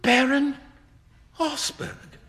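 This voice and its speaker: A man voicing a naive, earnest young English gentleman in a storybook, easily flustered, plaintive and indignant. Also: high-pitched, sing-song, a little slow, pitched above the performer's own voice, full character voice. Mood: shocked indignation. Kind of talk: acted storybook dialogue.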